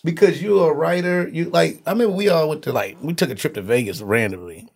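A man's voice: on the high side, fast, vocal fry, a little mellow, husky. A man hosting a podcast in a loose, slangy, casual chat, laughing often. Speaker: podcast host.

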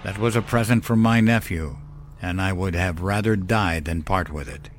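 Low-voiced man narrating horror. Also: moderate pace, a little hiss or hum. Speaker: narrator of horror fiction podcast. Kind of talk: dramatic first-person scripted narration. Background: background music.

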